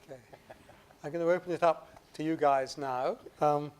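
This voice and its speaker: A man chairing a panel Q&A in a packed conference room, calm and measured, with brief affirming interjections. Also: fairly high pitch, smooth.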